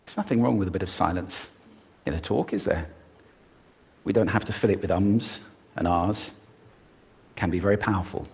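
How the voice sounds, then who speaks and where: A man's voice: sits low, normal speed, gravelly, long pauses, a little mellow, slightly rough. A man giving a prepared stage talk, demonstrating voice techniques expressively as he goes. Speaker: public speaker.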